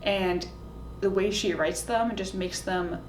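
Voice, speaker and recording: A woman's voice: steady tone, slow. A woman talking casually and informally to camera, giving opinionated recommendations in a chatty way. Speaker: book reviewer, video host. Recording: roomy echo, faint background noise and rumble.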